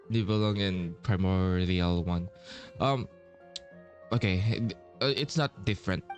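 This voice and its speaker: Slow, low-voiced man streaming a game. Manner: hesitant, muttering, swearing. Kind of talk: casual gaming commentary. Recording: game music behind voice. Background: game music.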